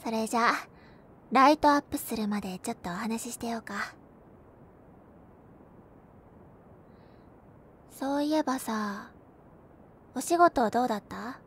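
A young woman playing a gentle, cheerful girlfriend who comforts her overworked boyfriend in an intimate audio roleplay, with a soft, playful manner. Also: on the high side, brisk, slightly rough. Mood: gentle, concerned. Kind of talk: Japanese binaural situation-voice roleplay, spoken to the listener.

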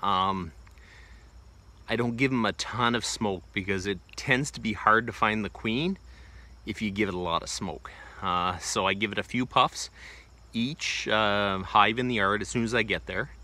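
A man's voice: on the low side, fairly even intonation, a little slow, pushed and bright. A man in his forties giving a casual, plainspoken how-to demonstration outdoors, filmed on a phone held close, with lots of loose fillers. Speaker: homesteading vlogger. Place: outdoors in a wooded bee yard. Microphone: phone camera held close. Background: crickets and insects.

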